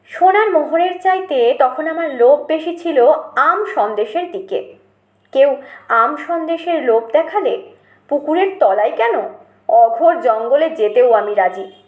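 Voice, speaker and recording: A woman reading a literary memoir as an audiobook narrator, in an expressive storytelling manner. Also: high voice, a little slow. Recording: roomy, echoing voice recording.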